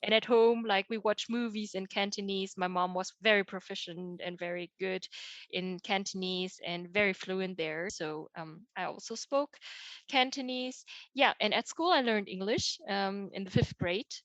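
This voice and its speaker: A woman's voice: medium pitch, moderate pace, a bit bright, dry recording, low-quality recording. A young woman from Germany whose first language was Teochew, a podcast guest talking casually and chattily with many fillers and restarts. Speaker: podcast guest, data engineer. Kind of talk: casual interview talk.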